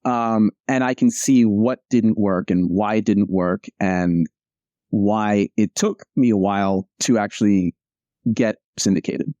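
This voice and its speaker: Male interview guest, casual and rambling, self-correcting, with a warm, relaxed tone and dry sound. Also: fairly low pitch, unhurried, no room sound, lo-fi sound. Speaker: comic strip cartoonist, interview guest.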